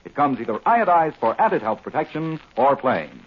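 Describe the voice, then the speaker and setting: A man with an American accent announcing in emphatic 1940s radio style, selling like a pitchman. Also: sing-song, moderate pace, husky. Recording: dull 1940s radio recording.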